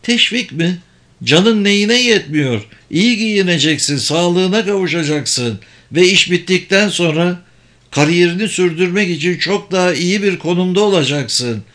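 A man's voice: high voice, animated, a little slow, a bit bright, voice pushed up. A man voicing a superior, persuasive sorcerer in an audiobook, speaking formally and insistently. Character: persuasive, superior sorcerer who runs secret political games.

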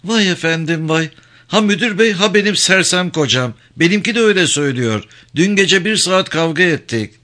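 A man voicing a vain, flirtatious, sharp-tongued married woman from a novel, coquettish and easily indignant. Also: sits high, expressive, brisk, pitched above the performer's own voice. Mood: vain, complaining.

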